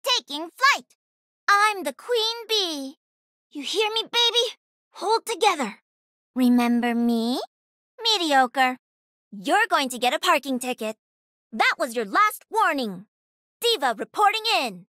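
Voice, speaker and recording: A young female pro gamer piloting a mech in a video game. Her voice is extremely high and lively, swinging widely in pitch, with a close, dry sound. She comes across as cocky, playful and energetic. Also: takes it slowly, pauses often, dry recording, full character voice. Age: young adult. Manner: sassy, confident, energetic.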